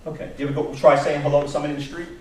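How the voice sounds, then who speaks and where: A man from Long Island with a Brooklyn New York accent, giving an informal talk to a staff meeting in an animated storytelling way. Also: a little high, racing. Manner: animated storytelling, acts out quotes, rhetorical questions, chuckles. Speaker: presenter, job search instructor. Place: indoor meeting room, recorded from a distance. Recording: echoey room from far off, background noise.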